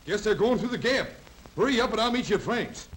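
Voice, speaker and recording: High-voiced commanding old-time Western sheriff. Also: male voice, animated, fairly quick, a bit bright. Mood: urgent. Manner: urgent, folksy, commanding. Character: commanding Western sheriff rallying his posse. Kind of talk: 1930s radio Western acting. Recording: noisy 1930s film soundtrack.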